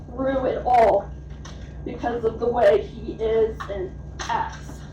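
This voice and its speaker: A woman in her thirties or forties addresses a school board during public comment, earnest and emphatic. Her voice sits high and mellow. The sound is distant, dull and roomy, with a faint hiss. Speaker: parent speaking at public comment. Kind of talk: prepared public statement at a meeting. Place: school board meeting room. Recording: distant, echoey, dull meeting-room sound.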